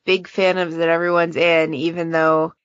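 A woman's voice: on the low side, flat delivery, moderate pace, no room sound, dull audio. A woman chatting casually and informally as a moderator on a podcast panel.

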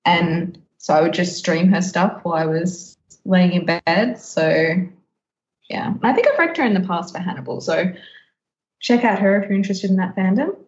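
A woman's voice: lowish voice, moderate pace, darkish tone. A woman hosting a podcast in casual chat, with a giggly way of talking that often breaks into laughter. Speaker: podcast host. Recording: slightly dull, echoey room.

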